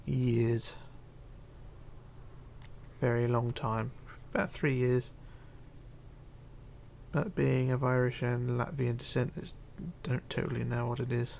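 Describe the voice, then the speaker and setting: A man hosting a casual livestream, reading chat aloud and chatting in a rambling, halting way. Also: medium pitch, little pitch movement, gravelly, a little mellow, a little hiss or hum. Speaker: Minecraft livestreamer.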